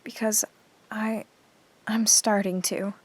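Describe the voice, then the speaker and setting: A woman playing a shaken, fearful teenage girl in an audio drama, speaking haltingly. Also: mid-range voice, little pitch movement, dry recording. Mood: haunted, fearful. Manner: halting, haunted.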